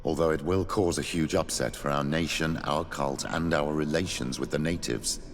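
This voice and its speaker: A grave priest in a fantasy game. His voice is extremely deep and gravelly, ringing with long reverb. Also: moderate pace, a little hiss or hum. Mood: awed. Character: grave, deep-voiced old-world priest, politely supportive. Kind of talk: scripted fantasy game dialogue. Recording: long reverb, faint background ambience.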